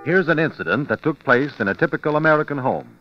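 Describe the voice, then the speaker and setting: A man's voice: fairly high pitch, expressive, fast talker. A man announcing a radio show from a script in a 1940s broadcast style. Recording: dull vintage radio recording, music behind.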